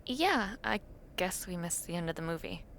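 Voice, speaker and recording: A young woman acting an affectionate, teasing university student in an intimate roleplay, talking softly and playfully to the listener late at night. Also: fairly low pitch, lively intonation, moderate pace, a little hiss or hum. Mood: fond, sleepy. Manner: soft, playful, teasing, with breathy murmurs.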